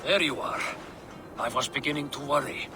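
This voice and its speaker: Game sidekick, male actor: worried, proper man, slightly high, animated pitch, music underneath. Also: moderate pace, close to an everyday voice. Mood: anxious. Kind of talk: video game cutscene acting.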